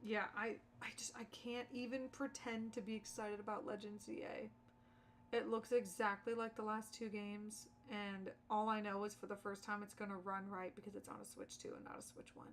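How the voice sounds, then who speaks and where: A woman's voice: mid-range voice, level tone, moderate pace, fairly bright tone, a little hiss or hum. A woman livestreaming games in casual, slangy chat, chatty and opinionated as she talks to viewers. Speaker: streamer, content creator.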